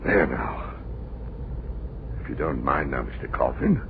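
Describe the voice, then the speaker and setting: A man's voice: rumbling low, lively intonation, a little slow, exaggerated voice. An actor playing a stubborn, obsessive village man who mutters to himself in an old-time radio drama. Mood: eager, intent. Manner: muttering, rhetorical tag questions to himself. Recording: noisy, tinny vintage radio recording.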